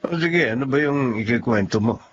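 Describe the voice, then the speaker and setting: A man's voice: mid-range voice, animated, moderate pace, lo-fi sound, a little hiss or hum, lightly acted. An actor with a Filipino accent playing a kind, forgiving middle-aged husband and honest tradesman in a radio drama. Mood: teasing, cheerful.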